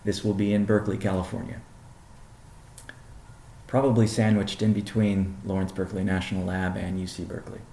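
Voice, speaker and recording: A man gives a prepared corporate update in measured phrases. His voice is dark and relaxed, with a heavy gravelly creak. The sound is noticeably roomy and echoing, and he lands key words deliberately. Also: low voice. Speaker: company CEO presenting. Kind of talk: prepared business presentation on a conference call.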